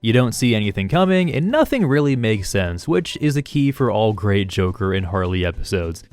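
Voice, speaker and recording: A man's voice: lowish voice, a little mellow. A man narrating a scripted video essay review with casual asides, enthusiastic and emphatic. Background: background music.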